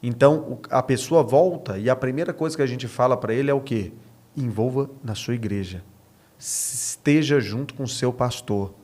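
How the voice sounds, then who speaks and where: A Brazilian man with a mid-pitched voice talks like a pastor in conversation. He is earnest and emphatic, making his points through personal stories and often checking in with short rhetorical questions. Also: moderate pace, darkish tone. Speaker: pastor, podcast guest. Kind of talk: conversational preaching with personal anecdotes.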